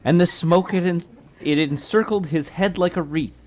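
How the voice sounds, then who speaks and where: A man's voice: sits high, moderate pace, a little mellow, a little hiss or hum. A man giving a dramatic, emphatic reading of a storybook poem in a live room. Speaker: reader at a charity livestream.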